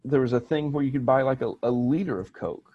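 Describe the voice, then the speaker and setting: An American man co-hosting a podcast in casual chat, halting with many restarts and fillers. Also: mid-range voice, dry recording, highs cut off. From United States. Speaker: podcast co-host.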